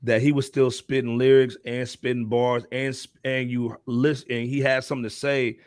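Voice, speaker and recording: A man's voice: medium pitch, flat delivery, normal speed, no room sound, studio quality. A man hosting a hip-hop show, chatting casually with slangy, hyping interjections. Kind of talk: casual music discussion.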